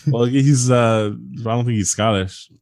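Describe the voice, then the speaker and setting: A man's voice: medium pitch, a little slow, darkish tone, no echo, studio quality. A man hosting a comedy podcast, reading strange stories aloud and breaking into laughter and asides. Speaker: podcast host reading a countdown list.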